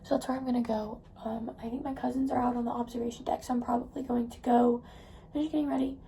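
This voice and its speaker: A young woman chatting casually in a vlog, rambling with lots of fillers, her voice hoarse because she is losing it. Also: fairly high pitch, flat delivery, fast talker, mellow, slight background noise. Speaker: vlogger.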